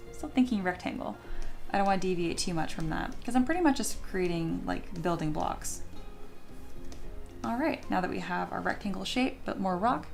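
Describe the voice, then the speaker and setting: A woman's voice: medium pitch, vocal fry. A woman with a slightly scratchy, sleepy voice, chatting informally and thinking aloud as she teaches a livestream tutorial. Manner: informal, chatty, talks to herself and viewers. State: sleepy, voice not yet warmed up. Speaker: 3D sculpting teacher and livestream host.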